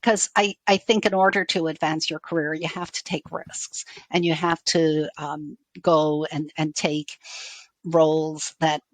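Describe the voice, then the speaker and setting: Middle-aged woman, low-pitched, softened Irish accent. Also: little pitch movement, measured pace. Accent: faded Irish. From Dublin, Ireland. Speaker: university IT executive, interview guest. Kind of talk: conversational interview answers.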